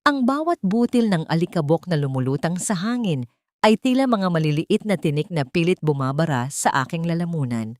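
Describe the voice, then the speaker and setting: A woman narrating a dramatic scripted story in a solemn, measured storytelling manner. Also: fairly low pitch, very animated, a little mellow, dry recording, studio quality.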